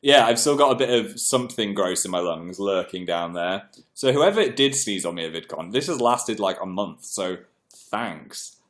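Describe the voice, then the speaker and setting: A man's voice: lowish voice, animated, normal speed. Young guy, a bit congested, rambling chatty livestream, reads out comments. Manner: chatty, self-deprecating, reads comments aloud. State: congested, clearing throat. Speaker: livestream host. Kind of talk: casual livestream chat reading viewer comments.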